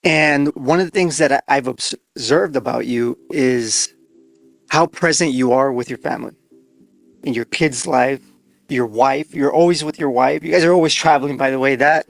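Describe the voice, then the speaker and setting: A man's voice: medium pitch, moderate pace, vocal fry, no echo. A man hosting a casual interview, talking in an effusive, informal way. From born in Argentina, raised in the US. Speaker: podcast host.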